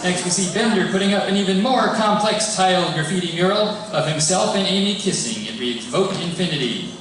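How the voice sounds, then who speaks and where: A man's voice: sits high, expressive, brisk, fairly bright tone. A man reading scripted stage directions as narrator of a live table read in a large hall. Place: large indoor hall before an audience. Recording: echoey hall, distant, audience noise.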